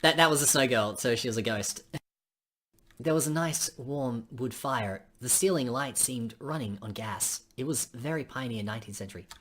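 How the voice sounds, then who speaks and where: A woman with a husky voice reading game dialogue aloud on a stream, with casual asides. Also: rumbling low, moderate pace, a bit bright.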